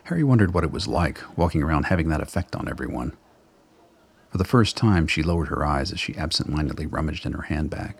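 A man narrates a scripted radio drama in an extremely deep voice. It is gravelly and husky, with measured, dramatic storytelling. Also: lively intonation.

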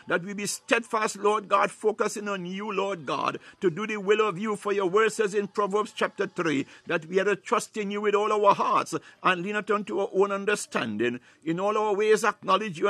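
A man's voice: high voice, fairly bright tone, no room sound. A man leading fervent, unscripted prayer and preaching, declaiming scripture with repeated devotional interjections. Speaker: prayer leader.

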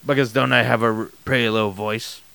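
A man's voice: mid-range voice, steady tone, a little fast, creaky, bright, forceful tone, smooth. A man co-hosting a casual anatomy podcast, mostly agreeable and rambling in his explanations. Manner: agreeable, rambling, defensive when challenged. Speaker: podcast co-host. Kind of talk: casual chat about anatomy.

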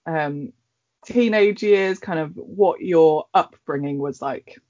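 Interviewer asking gentle, measured questions. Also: mid-range voice. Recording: muffled, dull audio.

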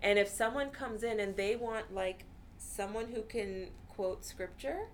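A woman's voice: medium pitch, unhurried, a little hiss or hum. Earnest woman therapist chatting casually. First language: Urdu. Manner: earnest, frequent "you know" fillers. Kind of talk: casual interview talk.

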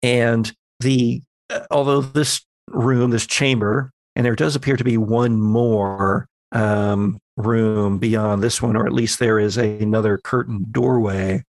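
A man narrating an improvised horror tabletop game as game master, deliberate and descriptive with halting pauses. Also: mid-range voice, steady tone, no echo.

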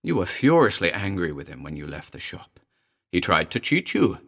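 A man voicing a small, malevolent demon familiar with a shrill, sly, insistent delivery in an audio horror story. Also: very animated, moderate pace, vocal fry, fairly bright tone, exaggerated voice. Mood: cold, insistent.

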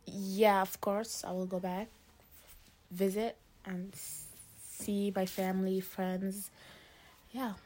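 A teenage girl from Lebanon, a high school student answering interview questions in a casual, hesitant way with many fillers and restarts. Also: little pitch movement, slow, long pauses, a little mellow. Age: teens.

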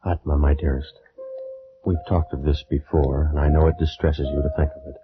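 Very deep, ardent ancient Egyptian sorcerer. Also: male voice, little pitch movement, brisk. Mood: urgent, persuasive. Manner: formal, earnest, theatrical. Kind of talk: 1940s-50s radio drama acting. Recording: tinny old radio recording with music.

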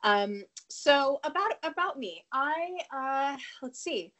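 A woman in her thirties with a cold, speaking as a podcast guest in an earnest, talkative way, with frequent fillers and quick self-conscious laughs. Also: high voice, lively intonation, a bit bright. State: has a cold, a bit sniffly. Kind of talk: conversational interview answer.

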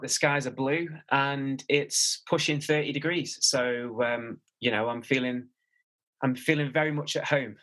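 A Mancunian man in his late twenties talks as a podcast guest, his voice bright and forward. He rambles along with restarts and fillers as he tells his story. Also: medium pitch, rough recording. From Manchester, England. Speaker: guest, personal trainer. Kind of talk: casual interview chat.

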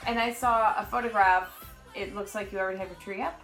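A woman's voice: mid-range voice, fairly even intonation, fast, a bit bright, faint music behind, low-quality recording. A middle-aged woman co-hosting a relationship show, easygoing and asking questions in casual chat. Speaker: co-host.

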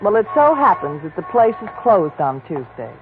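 An actress playing a detective's pleading, coaxing old flame, in 1940s radio acting style. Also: female voice, medium pitch, sing-song, moderate pace, close to an everyday voice. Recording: tinny old radio broadcast with faint hiss.

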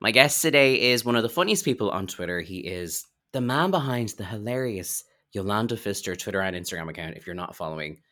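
A man's voice: lowish voice, animated, fairly quick, few pauses, sharp tone, faint music behind. An Irish man hosting a podcast, chatting in a casual, informal interviewing style full of asides. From Ireland. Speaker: podcast host.

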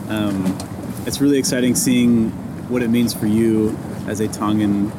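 Guy interviewing someone, lowish voice, easygoing, lots of "like" and "i think", noisy background. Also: a little slow. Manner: relaxed, encouraging, hedging questions. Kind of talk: conversational interview.

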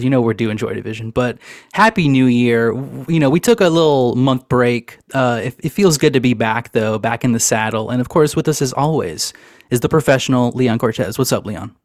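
A man's voice: medium pitch, moderate pace, dry recording, studio quality. A man hosting a podcast in a laid-back, joking chat, often laughing and saying "dude". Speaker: podcast host. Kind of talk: casual chat.

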